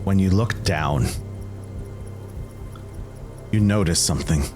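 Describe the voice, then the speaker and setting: A man's voice: low-pitched, slow pace. A man narrating an improvised tabletop game as game master, upbeat and affirming toward the players. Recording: music playing behind the voice. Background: clearly audible music.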